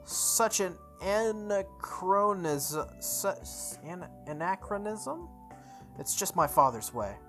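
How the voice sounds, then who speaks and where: A man voicing a serious, formal male high school student in a visual novel. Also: sing-song, slow pace, a bit bright, faint music behind, full character voice. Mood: grumbling.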